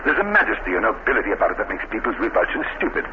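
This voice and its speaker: An actor playing a sinister, smoothly genial prison guard who relishes talk of death, in 1930s radio drama style. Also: male voice, on the low side, big pitch swings, racing. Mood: morbidly eager. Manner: unctuous, politely insistent, relishing his words. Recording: noisy, dull 1930s radio recording.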